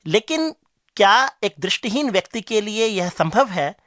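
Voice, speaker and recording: A man's voice: high-pitched, very animated, moderate pace, bright, forceful tone, clean studio sound. Male interviewer for a radio feature, formal questioning style, no room echo. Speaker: interviewer, show correspondent.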